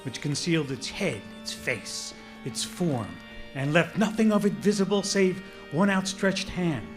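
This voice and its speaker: Male narrator, fairly high-pitched, theatrical storytelling with clear music underneath. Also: animated, a little slow. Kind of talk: dramatic scripted narration.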